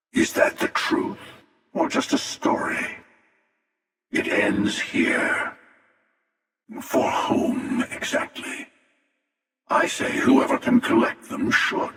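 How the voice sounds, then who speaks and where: Gravelly, jaded space hunter, drenched in echo. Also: male voice, very high-pitched, bright, forceful tone, exaggerated voice. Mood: menacing. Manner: sardonic, taunting, deliberate. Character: jaded, menacing, world-weary older male space hunter. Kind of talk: video game character voice lines. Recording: cavernous echo and long reverb, distant.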